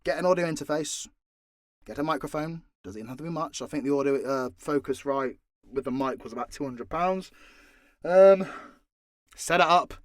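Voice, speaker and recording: A man's voice: fairly high pitch, normal speed, no echo, studio quality. A British man casually answering fan questions off the cuff, sweary and joking with mock exasperation. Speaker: audio roleplay creator and voice actor.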